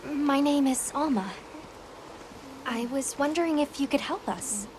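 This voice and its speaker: A woman's voice: high voice, unhurried, noisy background. An actress playing a polite, composed young woman who handles an expedition in a game cutscene. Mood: polite, respectful. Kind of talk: dramatic video game cutscene acting.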